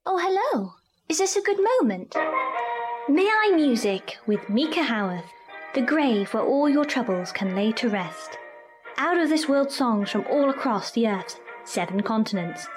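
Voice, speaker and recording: Animated high-voiced woman over music. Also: unhurried. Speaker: radio show presenter. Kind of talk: scripted radio promo. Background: music bed.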